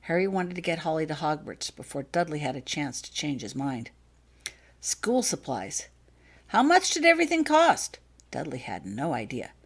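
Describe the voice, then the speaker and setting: A woman's voice: low voice, sing-song, moderate pace, breathy edge. A woman reading scripted audiobook fiction as the author of the story.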